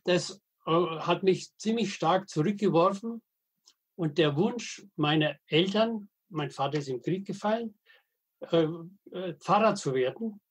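Husky-voiced man in his eighties. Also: high voice, animated. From Middle Franconia, Germany. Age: 80s. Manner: halting, frequent hesitations and restarts; dry humor, anecdotal. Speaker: guest, veteran wastewater engineer and editor. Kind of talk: podcast interview, storytelling.

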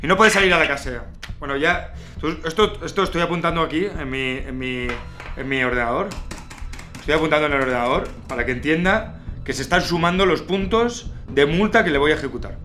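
Bright-voiced Spanish man, halting and scolding. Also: fairly high pitch, soft background music. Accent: Spanish from Spain. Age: 30s. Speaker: prank caller. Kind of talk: prank phone call posing as a police officer. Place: small room at home.

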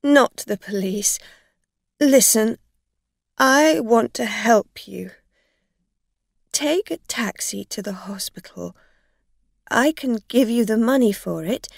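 Woman acting a flustered, pleading tipsy woman, pitched high. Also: lively intonation, unhurried, creaky, darkish tone, no echo, clean studio sound, full character voice. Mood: afraid, pleading. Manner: hesitant, stammering, pleading. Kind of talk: acted dialogue in an audio story.

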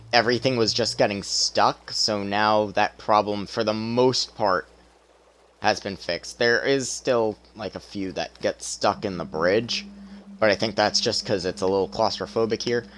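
Young man, game streamer, strained tired voice, lowish pitch, chatty and self-mocking. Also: measured pace, a bit bright. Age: young adult. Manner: chatty, self-deprecating, with small laughs. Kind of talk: casual gameplay commentary.

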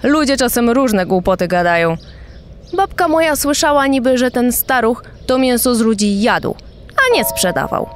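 Townswoman played by an actress: female, fairly high, colloquial, waves off rumours while gossiping, audio drama scene. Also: lively intonation, moderate pace, faint music behind, lightly acted. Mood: dismissive gossip. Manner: folksy, dismissive. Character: dismissive small-town woman trading neighbourhood gossip. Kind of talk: acted colloquial dialogue in audio documentary.

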